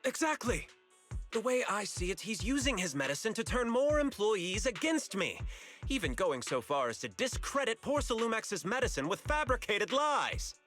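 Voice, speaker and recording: Game businessman: higher voice, suspicious and self-justifying, emphatic, formal diction, music underneath. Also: very animated, normal speed, a bit bright. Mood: indignant, insistent. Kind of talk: video game story dialogue acting.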